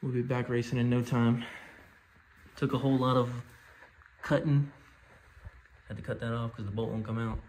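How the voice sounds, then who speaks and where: A man's voice: medium pitch, moderate pace, pauses often. Rambling young man vlogging from his phone. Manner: loose, rambling, excitable, swears when shocked. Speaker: car vlogger. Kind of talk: casual vlog narration. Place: outdoors at a drag strip and car meets, indoors in a garage. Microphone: phone camera held at arm's length. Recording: noisy outdoor phone audio. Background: engines and crowd noise.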